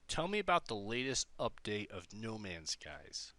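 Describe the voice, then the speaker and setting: A man's voice: on the low side, very animated, a little slow, bright, forceful tone. A man presenting a tech demo in a casual, informal way, thinking aloud as he goes. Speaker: presenter demonstrating an AI prototype.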